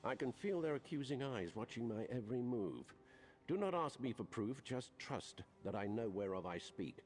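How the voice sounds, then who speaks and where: An actor playing a haughty, impatient, suspicious prince in a video game, speaking in formal, imperious phrases. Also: male voice, medium pitch, lively intonation, normal speed, darkish tone, soft background music, natural voice. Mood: suspicious, commanding. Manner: imperious, disdainful, formal diction. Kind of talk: scripted video game dialogue.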